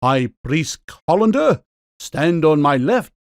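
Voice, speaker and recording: A pompous storybook king declaims his rebukes in a fairly high male voice. The pitch rises and falls in lively sweeps, and the sound is dry and close. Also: takes it slowly, no echo, heavily acted. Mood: pompous, hesitant. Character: pompous male king, a living kitchen cleaver. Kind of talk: full-cast audiobook acting.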